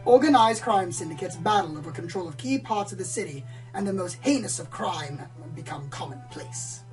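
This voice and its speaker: A woman's voice: mid-range voice, expressive, moderate pace, a little mellow, far from the mic, soft background music, natural voice, low-quality recording. A woman playing a pompous, dramatic male pony storyteller who loves noir detectives, florid and self-important, in a comedic radio play. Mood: earnest, grand. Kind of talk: comedic radio play, hardboiled narration parody.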